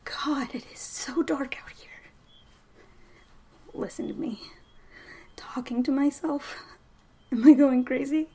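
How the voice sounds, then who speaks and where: A woman's voice: high voice, takes it slowly, long pauses, warm tone. An actress playing a shaken, stubborn young woman lost alone, muttering and whispering to herself in an audio drama. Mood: anxious, self-urging. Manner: muttering to herself, self-scolding, breaking into whispers.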